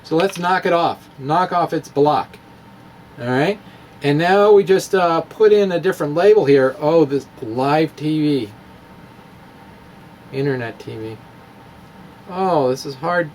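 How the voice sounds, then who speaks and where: A man giving a playful, self-mocking demonstration of math with clay and pipe cleaners. He often pauses and restarts while he works with his hands. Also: fairly high pitch, darkish tone, slight background noise, rough recording. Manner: wry, self-mocking, chuckles at own jokes. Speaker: physics blogger demonstrating math.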